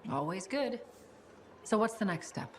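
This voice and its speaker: A woman playing a calm, authoritative spymaster in a video game cinematic, speaking in a measured way. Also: medium pitch, slight background noise, lightly acted. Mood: cordial. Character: calm, authoritative female spymaster handing out contracts.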